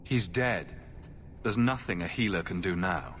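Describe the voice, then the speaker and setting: A man's voice: sits low, animated, measured pace, fairly bright tone, a little hiss or hum. An actor playing a confident, direct and wry male adventurer hero in a fantasy video game. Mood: grave.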